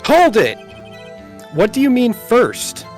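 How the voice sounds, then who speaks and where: Man playing a bold, animated young lawyer. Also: very high voice, takes it slowly, soft background music, pitched above the performer's own voice, exaggerated voice. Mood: challenging. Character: earnest, bold young male defense lawyer. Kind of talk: amateur video game character dub.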